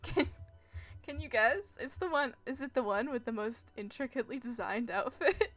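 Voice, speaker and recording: A woman's voice: a little high, moderate pace, fairly bright tone. A woman streaming a game, giggling and rambling as she talks back to the characters. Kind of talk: live gameplay commentary. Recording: game music behind.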